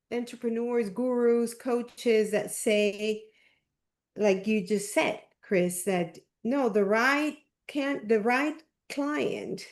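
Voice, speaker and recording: A woman's voice: fairly high pitch, a little mellow. A woman with a Spanish accent hosting a small coaching group on a video call, encouraging and repeating her points with many fillers. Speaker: discussion group host.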